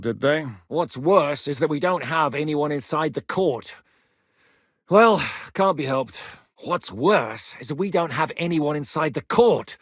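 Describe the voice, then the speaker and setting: A gruff, commanding rebel leader. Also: male voice, on the high side, a bit bright, no room sound, studio quality, heavily acted. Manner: barks curt orders, swears often. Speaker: game character. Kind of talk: video game voice acting.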